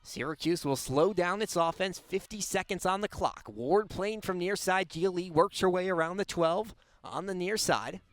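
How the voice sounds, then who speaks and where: Higher-pitched young male play-by-play announcer. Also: normal speed. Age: young adult. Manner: clipped, descriptive call of the action. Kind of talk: live radio sports play-by-play commentary.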